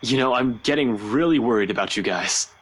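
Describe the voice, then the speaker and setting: A woman's voice: a bass voice, lively intonation, brisk, slightly rough, voice pushed down, exaggerated voice. A woman doing a guarded, evasive young man.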